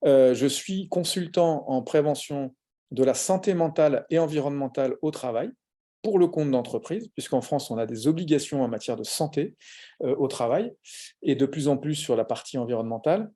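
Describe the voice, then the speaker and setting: Casting: adult male, mellow warm voice, structured explanatory delivery on a panel. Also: medium pitch, brisk. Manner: explanatory, orderly, some fillers. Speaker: psychologist and lecturer. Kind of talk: panel talk about his profession.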